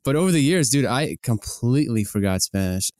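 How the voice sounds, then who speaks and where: A man's voice: medium pitch, animated, a little fast, darkish tone, no room sound, clean studio sound. A man in his thirties, a native Russian speaker, hosting a podcast in a casual, chatty style. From United States, arrived at thirteen as a refugee. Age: 30s. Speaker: podcast host. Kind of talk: podcast interview and casual chat.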